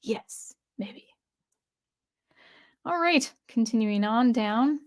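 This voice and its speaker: A woman's voice: a little high, animated, drawn-out words. A woman from the US Northwest hosting a casual livestream, reading viewer comments aloud and answering them in a chatty way with frequent restarts. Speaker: livestream host.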